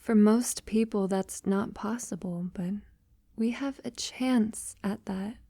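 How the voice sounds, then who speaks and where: A woman playing a tender, devoted vampire lover in an intimate ASMR audio roleplay, softly and breathily, often near a whisper, with hesitant pauses. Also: mid-range voice, husky, no echo. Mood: loving, devoted. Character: tender, devoted female vampire lover, wealthy and long-lived.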